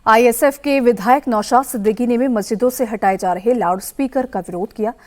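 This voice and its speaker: A woman's voice: on the high side, brisk. A woman reading a scripted news report as a voice-over.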